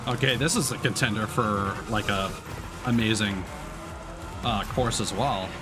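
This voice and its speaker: A man with a fairly low voice commentates casually on a racing game as he streams it. He thinks aloud in a halting, conversational way. Game music plays clearly behind him. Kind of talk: casual live gameplay commentary. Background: game music and vehicle sounds.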